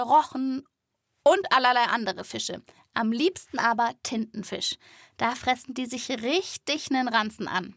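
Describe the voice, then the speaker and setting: Woman host of a kids podcast, highish voice, chatty explaining, really dry studio sound. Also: fairly bright tone, dry recording. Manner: colloquial, explaining to children. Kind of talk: scripted narration with playful dialogue.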